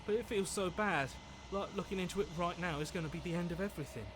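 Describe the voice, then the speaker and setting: A man voicing an excitable, anxious inner voice in a video game, with theatrical, expressive delivery. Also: high voice, fast, noisy background, lightly acted. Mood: fearful. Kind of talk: dramatic game voice acting.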